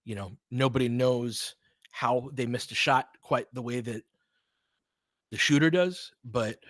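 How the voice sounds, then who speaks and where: A man's voice: medium pitch, unhurried, fairly bright tone, dry recording. Clipped, partisan male basketball play-by-play caller, dry sound. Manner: clipped, fragmentary play calls; openly partisan, cheering for his team. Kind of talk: live fan-side play-by-play commentary.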